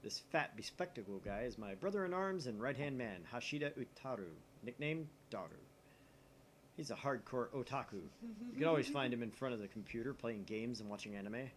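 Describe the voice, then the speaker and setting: A man reading a visual novel aloud in theatrical, expressive narration. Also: medium pitch, brisk, a little hiss or hum. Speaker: gaming channel host.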